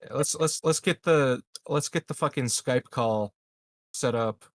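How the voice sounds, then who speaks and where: A man's voice: medium pitch, a little slow, no echo, studio quality. A man playing a tabletop game, interjecting casually and repeating his words as he goes. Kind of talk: casual, joking game chat.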